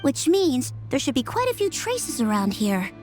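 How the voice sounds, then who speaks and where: A woman's voice: sits high, big pitch swings, normal speed, darkish tone, soft background music. A woman playing a brainy nonhuman young female sleuth in a video game, earnest and methodical as she explains things precisely. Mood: analytical deduction.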